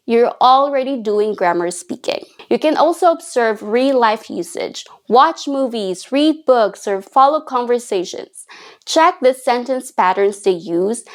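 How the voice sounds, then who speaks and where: Give me a higher-pitched woman teaching English. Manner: clear, encouraging and instructive. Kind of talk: scripted video lesson.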